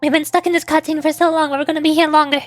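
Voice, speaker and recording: High-pitched woman streamer, close dry sound. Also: flat delivery, rapid-fire, no room sound. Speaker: VTuber streamer. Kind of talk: casual livestream chat with viewers. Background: soft music.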